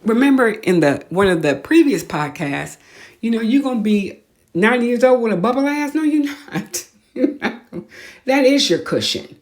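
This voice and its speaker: A woman with an American accent hosts a casual podcast monologue, talking in an animated, opinionated way with blunt slang. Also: fairly high pitch, moderate pace. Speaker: podcast host.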